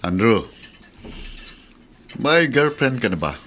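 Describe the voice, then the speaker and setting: A man's voice: mid-range voice, sing-song, slow, a bit bright. A man playing a watchful, moralizing middle-aged uncle in a Filipino radio drama, fatherly and probing. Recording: faint background noise, clinking dishes.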